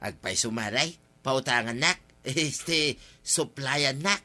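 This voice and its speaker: An actor playing a wheedling village husband in a radio drama, with an Ilocano accent and an emphatic, pleading delivery. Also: male voice, medium pitch, expressive, takes it slowly, bright, forceful tone, exaggerated voice. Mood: eager, coaxing. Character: wheedling village husband, a drinker seeking credit.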